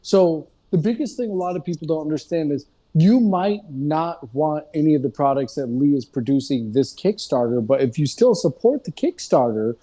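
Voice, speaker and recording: A man's voice: fairly high pitch, lively intonation, moderate pace, mellow. A man in a casual group discussion, talking in a loose, digressive way and often checking in with "you know what I mean".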